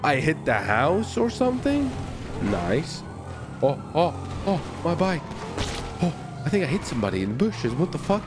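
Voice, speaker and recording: Animated, high-voiced man commentating gameplay. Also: normal speed, music in the background. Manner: boisterous, swearing, frequent shouts and whoops. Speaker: gaming video host.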